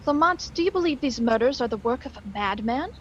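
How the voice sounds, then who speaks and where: An actress playing an inquisitive, poised sleuth's companion in an old-time radio drama. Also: female voice, high-pitched, lively intonation, a little fast, slight background noise. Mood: inquisitive, earnest. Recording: dull lo-fi stream audio.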